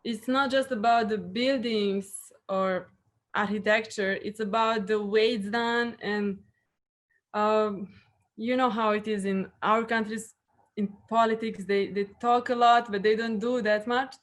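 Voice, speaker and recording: A woman's voice: mid-range voice, steady tone, measured pace. A young woman from Serbia with a Serbian accent, answering interview questions in English with frequent fillers and repeated words. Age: young adult. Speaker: guest.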